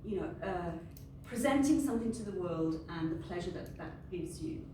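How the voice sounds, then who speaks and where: A woman's voice: on the low side, expressive, moderate pace. A middle-aged Welsh woman whose first language is Welsh, giving a friendly, unscripted talk to a group. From Wales. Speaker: industry panel speaker. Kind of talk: informal presentation to a group. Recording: very echoey room, distant mic, ambient noise.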